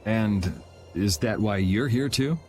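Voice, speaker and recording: A man's voice: on the low side, slow, darkish tone. An actor playing a laid-back, wry young man in a video game cutscene, with music behind. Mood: calm, teasing. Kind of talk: English game cutscene voice acting.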